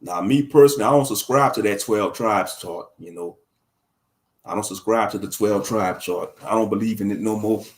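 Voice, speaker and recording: A man's voice: lowish voice, moderate pace. Dude rambling on his livestream, slangy and kinda preachy, chuckles at his own bits. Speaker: livestream commentator. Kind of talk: casual livestream talk answering chat.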